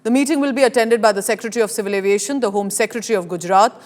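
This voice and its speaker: A woman news anchor with an Indian accent and a mid-pitched voice. She presents live news emphatically, setting out each point in an explanatory way. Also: very fast, darkish tone.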